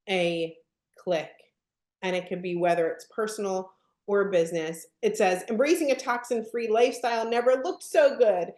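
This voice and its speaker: Upbeat woman demoing software, echoey room. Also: fairly high pitch. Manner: upbeat, asks the audience frequent questions. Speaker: product presenter. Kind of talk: live webinar product demo.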